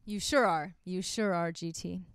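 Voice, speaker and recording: A woman's voice: fairly low pitch, unhurried, clean tone, no echo. A woman co-hosting a comedy podcast, bantering casually with a teasing, sarcastic manner. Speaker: comic and podcast co-host.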